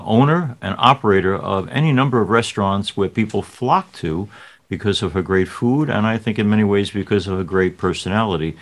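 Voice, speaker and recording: A priest hosting a television interview show, reading a scripted introduction and then asking easy conversational questions with the odd filler. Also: male voice, lowish voice.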